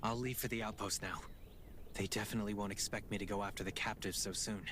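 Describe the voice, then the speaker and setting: An actor playing a determined, caring survivor fighter in a video game. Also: male voice, on the low side, steady tone, quick pace, noisy background, lightly acted. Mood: resolute. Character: determined, concerned male survivor fighter, quietly heroic.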